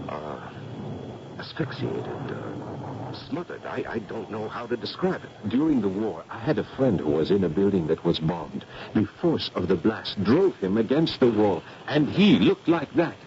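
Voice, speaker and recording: An actor playing a shaken foreign man who haltingly searches for words, in a 1970s radio mystery drama. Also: fairly low pitch, very animated. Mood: shaken, hesitant. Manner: hesitant, searching for words in a second language. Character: shaken foreign man describing a horrifying death. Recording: noisy, muffled 1970s radio broadcast.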